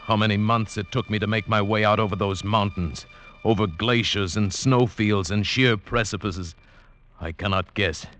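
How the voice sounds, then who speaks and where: An actor playing an anguished, awestruck mountaineer, telling his ordeal in a poetic, measured, intense 1940s radio drama style. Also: lowish voice, steady tone, background noise, lightly acted. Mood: awed, weary. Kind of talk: 1940s radio drama acting, first-person narration. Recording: dull vintage radio recording with music. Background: dramatic orchestral score.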